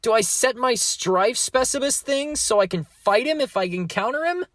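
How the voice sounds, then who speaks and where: A man's voice: very high-pitched, expressive, moderate pace, a bit bright, no echo, clean studio sound. A man voicing an anxious, whiny thirteen-year-old boy in an amateur fandub, exasperated and hesitant.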